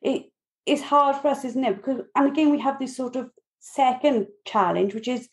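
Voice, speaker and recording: A Welsh woman hosting a podcast, asking relaxed, chatty questions with a few fillers. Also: high voice, moderate pace. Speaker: podcast host. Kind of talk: casual podcast interview.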